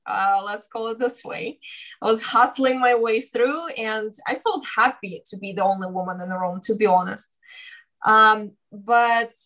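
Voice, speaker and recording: A woman's voice: fairly high pitch, a little slow, pushed and bright. Passionate woman. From Ukraine. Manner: candid, passionate, non-native English phrasing. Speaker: guest, startup founder. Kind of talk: casual interview chat.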